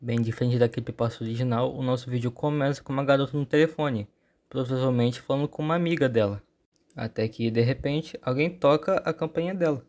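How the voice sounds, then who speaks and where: A man's voice: medium pitch, brisk, darkish tone. A man with a Brazilian accent telling a story as a channel host, in an informal scripted narration.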